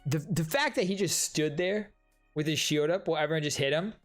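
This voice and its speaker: A man streaming casual reaction commentary on game trailers, excitable and slangy, gushing as he ranks them. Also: on the high side, fairly quick. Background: trailer music.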